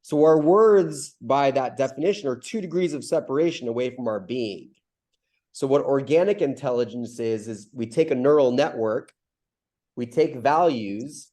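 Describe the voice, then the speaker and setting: A man with a cold, his voice stuffy and mellow, talks through big ideas on a video call. He rambles loosely, doubles back on himself and keeps checking in with "right?". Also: medium pitch. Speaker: community call host. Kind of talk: casual explanatory talk on a video call.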